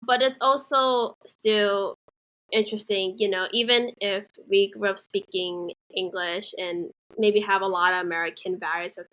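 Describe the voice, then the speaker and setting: A woman with a bright, forward voice at a middle pitch, co-hosting a podcast. She keeps an easy, friendly, conversational tone. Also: low-quality recording. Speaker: podcast co-host. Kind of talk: casual chat.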